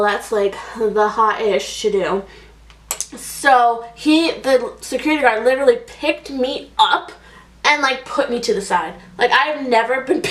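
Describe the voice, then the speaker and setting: A woman in her twenties telling a story in a rambling, casual way, filled with "like" and "um", as she does her makeup. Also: a little high. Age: 20s. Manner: chatty, rambling, many "like"s and fillers. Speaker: beauty vlogger. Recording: echoey room, voice a bit distant.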